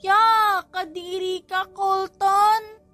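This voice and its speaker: A woman voicing a nosy, excitable little boy with a childlike, exclamatory manner in an audiobook story. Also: very high-pitched, very slow, faint music behind, pitched above the performer's own voice, heavily acted. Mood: disgusted. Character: bossy, curious little boys, excitable quadruplet brothers. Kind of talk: audiobook character voice in a family romance story.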